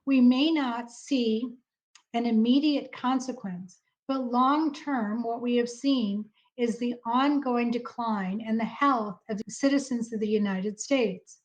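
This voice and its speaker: A woman giving an advocacy speech in a measured, serious, formal way. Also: fairly high pitch, rough recording.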